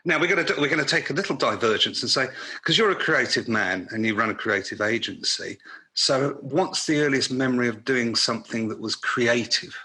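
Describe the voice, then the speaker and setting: A man's voice: medium pitch, lively intonation, fairly quick, sharp tone, poor audio. A man hosting a podcast, chatting casually as he interviews a guest.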